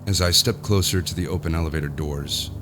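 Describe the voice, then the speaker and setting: A man's voice: rumbling low, brisk, noisy background. An actor playing a powerful businessman unravelling in dread, theatrical and haunted, in a horror audio drama. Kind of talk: horror audio drama, first-person narration and monologue.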